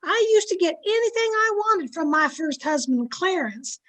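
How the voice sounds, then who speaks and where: Woman acting a very high-pitched, chatty housemaid. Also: expressive, normal speed, a bit bright. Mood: chatty, confiding. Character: chatty, frank, loyal female housemaid. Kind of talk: old-time radio sitcom acting.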